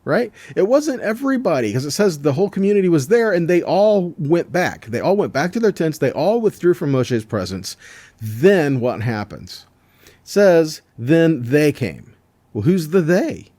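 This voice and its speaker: A man's voice: a little high, big pitch swings, moderate pace, warm tone, no echo. A man giving a scripture teaching in an explanatory way, with rhetorical questions and repeated points. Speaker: religious teacher.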